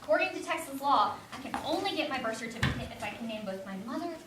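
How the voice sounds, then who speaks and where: A frustrated, insistent young woman, played by an actress in a stage play. Her voice is on the high side, heard from far off in an echoey space with clear background noise. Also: expressive, quick pace.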